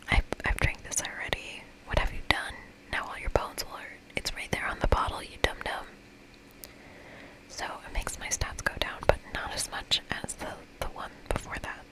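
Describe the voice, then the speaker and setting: A woman whispering softly as an ASMR host, reading a game aloud expressively. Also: low-quality recording. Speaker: ASMR host playing a visual novel game.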